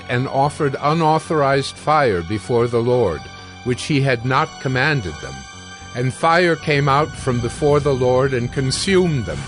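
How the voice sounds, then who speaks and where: A male narrator with a mid-range voice reads scripture as a dramatized story. His delivery is measured and solemn, and music plays beneath it. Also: medium pitch, a bit bright. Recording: background music under narration.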